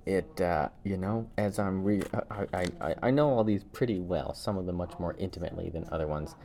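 Very deep-voiced man chatting emphatically. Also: brisk, gravelly, warm tone. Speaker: podcast co-host. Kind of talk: casual chat reviewing holiday cartoons.

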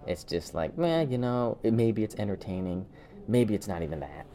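A man co-hosting a podcast in casual chat, speaking emphatically and haltingly with repeated words as he reviews. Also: lowish voice, animated, warm tone. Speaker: podcast co-host.

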